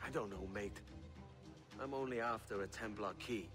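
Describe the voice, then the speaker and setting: A man's voice: lowish voice, moderate pace, lightly acted. A brash young pirate assassin, played by a male actor in a video game. He is wry and mercenary, sounding like a rogue with his own agenda. Music plays behind the voice. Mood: sly, wary. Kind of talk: video game cutscene acting.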